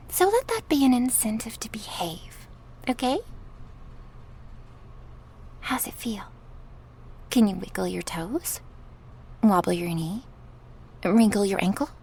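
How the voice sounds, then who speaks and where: A woman playing a sadistic, gleefully cruel goddess in an ASMR horror roleplay, taunting theatrically and slipping into breathy whispers. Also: fairly low pitch, a little mellow, slight background noise, full character voice. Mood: smug taunting.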